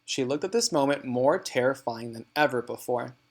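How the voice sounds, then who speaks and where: A man narrates a children's storybook in a mid-pitched voice. He reads expressively and deliberately, leaning on key words for dramatic effect. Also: animated. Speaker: read-aloud narrator. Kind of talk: expressive storybook reading for students.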